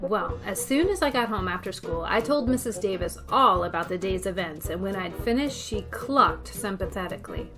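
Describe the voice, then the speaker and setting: A woman's voice: mid-range voice, lively intonation, moderate pace, few pauses, over music, close to an everyday voice. A woman playing a wisecracking schoolteacher with a dry, sardonic manner in a 1950s-style radio comedy. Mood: wry. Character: wry, wisecracking female schoolteacher heroine.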